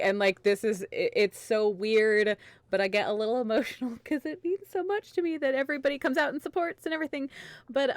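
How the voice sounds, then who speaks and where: A woman's voice: mid-range voice, smooth, dry recording. A woman hosting a livestream, chatting casually in a rambling, self-interrupting way. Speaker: host, variety streamer.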